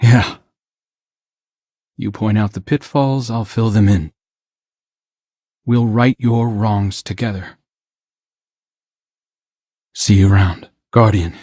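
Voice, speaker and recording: A man playing an earnest, troubled and defiant young hunter with a husky voice in a video game. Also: fairly low pitch, steady tone, slow pace, pauses often, warm tone, no echo, clean studio sound. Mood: determined. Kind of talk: video game character dialogue.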